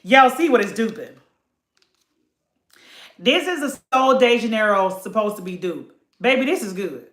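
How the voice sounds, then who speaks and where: A woman's voice: mid-range voice, moderate pace, long pauses, roomy sound. Chatty late-30s woman with drawl. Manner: chatty, animated, addresses viewers as y'all. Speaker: beauty haul vlogger. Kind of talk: casual haul chat to camera.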